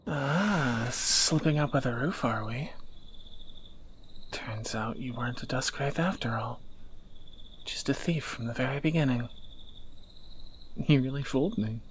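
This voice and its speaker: A man's voice: medium pitch, a little slow, long pauses, slight background noise, lightly acted. A man playing a tender, wry young thief in a romantic audio roleplay, teasing and intimate, often dropping toward a whisper. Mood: teasing, then uneasy. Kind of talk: romantic audio roleplay, one side of a dialogue.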